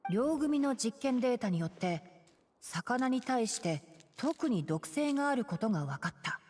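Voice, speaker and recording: A bookish young male botanist from a video game, speaking in a long reverb. The delivery is quick, precise and mildly exasperated, with lively intonation. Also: fairly high pitch. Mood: studious. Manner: precise, matter-of-fact, gently scolding. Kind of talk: Japanese video game voice acting.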